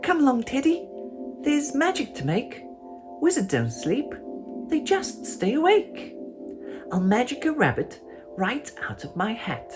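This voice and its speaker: A woman voicing a defiant, excitable, imaginative little girl in a children's storybook, boastful and theatrical. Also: a little high, measured pace, long pauses, over music, pitched above the performer's own voice. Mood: excited, boastful.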